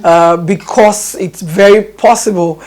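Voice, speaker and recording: A woman's voice: mid-range voice, steady tone, slow pace, darkish tone. A woman with a Nigerian accent, answering interview questions in an earnest, emphatic way and often repeating her phrases. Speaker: education guest.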